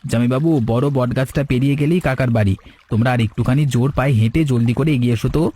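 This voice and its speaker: A man's voice: mid-range voice, very fast, dark tone, lightly acted. A man voicing an earnest, urgent young village lad, the younger brother in a cartoon story. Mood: guiding. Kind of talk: Bengali cartoon story dialogue.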